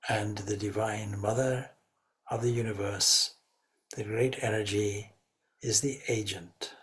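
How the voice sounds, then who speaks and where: A man leading a guided meditation as a spiritual teacher, speaking reverently in short, measured phrases. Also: fairly low pitch, steady tone, a bit bright.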